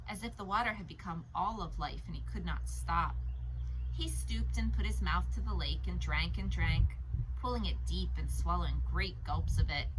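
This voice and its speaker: A woman narrating a novel as a read-aloud, in an expressive audiobook style. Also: medium pitch. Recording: noticeable background noise.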